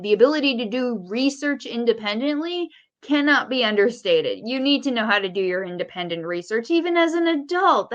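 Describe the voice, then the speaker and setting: A woman's voice: a little high, fairly bright tone, no echo, muffled recording. A woman co-hosting a podcast in casual, opinionated conversation, blunt and informal with a jokey streak. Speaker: podcast co-host.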